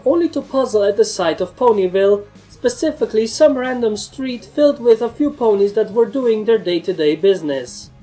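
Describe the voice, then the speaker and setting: A woman's voice: fairly high pitch, mellow. A woman narrator reading a scripted first-person fantasy story.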